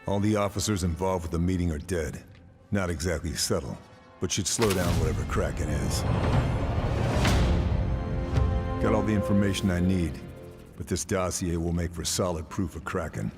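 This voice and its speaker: An actor as a steely Allied sniper in a war game, very low in pitch. He speaks tersely and keeps himself in check, with prominent music underneath. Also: fairly quick, lightly acted. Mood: grimly focused. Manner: terse, restrained. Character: gruff, steely, unflappable male Allied sniper and soldier. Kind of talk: scripted game narration and dialogue.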